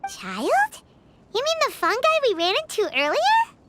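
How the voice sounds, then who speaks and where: A woman voicing a tiny, excitable, childlike fairy companion in a video game, squeaky and cute. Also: a little slow, slight background noise, exaggerated voice. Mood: curious, cheerful. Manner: refers to self in third person, exclamatory. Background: game music.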